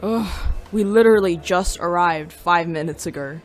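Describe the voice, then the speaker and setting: A woman's voice: fairly low pitch, normal speed, lightly acted. A woman playing an easygoing, scatterbrained demon reporter in a comedic audio drama, speaking casually and hesitantly. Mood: casual, distracted.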